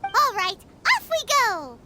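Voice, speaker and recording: A woman voicing a small, excitable female fairy companion with a squeaky, childlike voice in a game. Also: slow, heavily acted. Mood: eager. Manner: squeaky, childlike, refers to herself by name. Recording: game audio with music behind.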